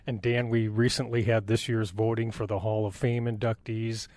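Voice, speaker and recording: A man's voice: lowish voice, little pitch movement, normal speed, darkish tone. A man hosting a conversational interview, putting questions to his guest. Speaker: interview host.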